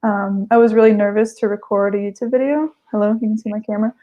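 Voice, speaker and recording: A woman's voice: medium pitch, fairly even intonation, fast talker, dark tone, highs cut off. A young American woman on a video call, chatting casually with lots of fillers and restarts. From United States. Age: young adult. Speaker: guest, language learner. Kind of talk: casual conversation.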